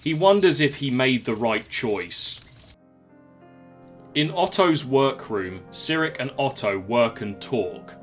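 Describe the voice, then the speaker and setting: A man's voice: mid-range voice, lively intonation, slow pace, soft background music. A man narrating a scripted audio drama in the present tense, as a storyteller.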